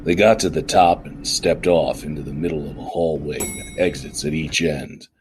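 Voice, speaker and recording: Very deep-voiced male noir narrator. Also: fairly even intonation, moderate pace, background noise. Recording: music under the voice.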